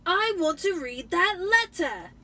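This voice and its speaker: A woman voicing a spoiled young boy in a storybook reading, loud and whining with demands. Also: very high-pitched, sing-song, slow, voice pushed up, exaggerated voice. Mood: demanding.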